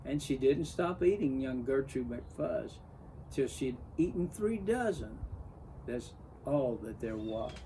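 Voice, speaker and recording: A man reading a children's story aloud in an expressive storybook narration style. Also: medium pitch, slow, slight background noise, poor audio. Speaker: story reader.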